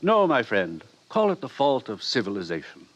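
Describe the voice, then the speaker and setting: An actor playing an urbane, condescending middle-aged world controller in a 1950s radio drama, lecturing articulately. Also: male voice, mid-range voice, wildly swooping pitch, moderate pace, a bit bright. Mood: smug, reasoning.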